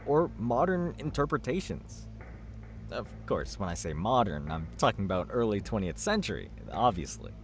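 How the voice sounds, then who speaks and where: An actor playing a chatty, good-natured art buff in a video game, with an expressive, lecturing and teasing way of talking. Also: male voice, on the low side, normal speed, slight background noise. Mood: enthusiastic.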